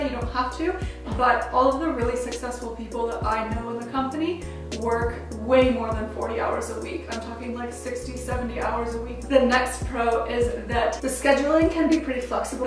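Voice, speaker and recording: A woman's voice: a little high, heavy reverb, recorded from a distance, poor audio. A young American woman in her twenties, chatting upbeat to camera as a travel vlogger, with plenty of casual fillers. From United States. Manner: chatty and upbeat, frequent "like" fillers, laughs easily. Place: small indoor room at home.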